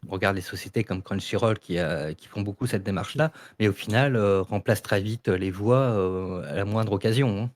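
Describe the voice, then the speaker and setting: A man's voice: on the low side, fairly quick, hardly any pauses. A man in a casual panel debate, explaining his points insistently and repeating them. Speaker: debate participant. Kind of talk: casual panel debate about audio fiction.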